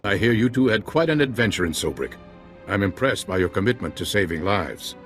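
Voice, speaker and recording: An actor playing a male officer giving briefings in a game cutscene, in a brisk, businesslike way. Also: lowish voice, expressive, a bit bright. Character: male Republic officer, brisk military planner. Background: game music.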